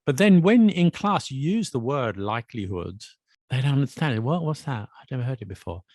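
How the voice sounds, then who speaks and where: Chatty English-teacher podcast host, dry sound. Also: male voice, medium pitch, big pitch swings, a little mellow, no room sound. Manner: chatty, restarts and laughs, quick asides. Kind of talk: casual podcast chat about language. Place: Paris.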